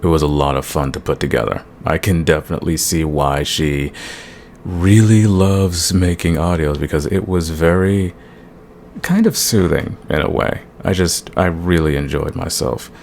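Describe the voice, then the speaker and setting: Really deep gravelly guy doing a casual channel update, hiss behind him. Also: normal speed, darkish tone. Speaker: audio roleplay creator, channel host. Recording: clear background hiss.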